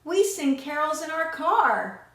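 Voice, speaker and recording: Woman voicing an eager, high-pitched little girl. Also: very animated, slow pace, fairly bright tone, roomy sound, full character voice. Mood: pleading. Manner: exclaiming, insistent, gushing. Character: eager, pleading, persistent little girl in love with pink.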